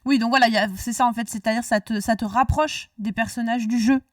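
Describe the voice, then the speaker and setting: A woman's voice: a little high, fairly even intonation, a little fast, no room sound, studio quality, distant mic. A woman hosting a casual discussion, talkative and rambling, often restarting her sentences. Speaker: podcast host.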